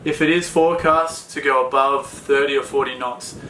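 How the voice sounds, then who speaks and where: An Australian man co-hosting a sailing chat, talking in a relaxed, plain way with many fillers. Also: medium pitch, moderate pace, reverberant, a little hiss or hum, low-quality recording. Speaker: sailing vlog co-host. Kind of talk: casual Q&A chat.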